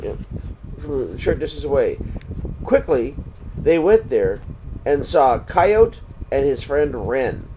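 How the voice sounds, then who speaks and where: A man reading a children's story aloud in a deliberate, expressive way, pausing between phrases. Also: medium pitch, slight background noise, rough recording.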